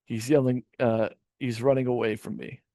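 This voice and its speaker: A man's voice: medium pitch, little pitch movement, moderate pace, gravelly, mellow, dry recording. A male podcast host talks casually, rambling and restarting his sentences, swearing now and then. His voice sits mid-range. The recording is dry and close. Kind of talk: casual reaction chat.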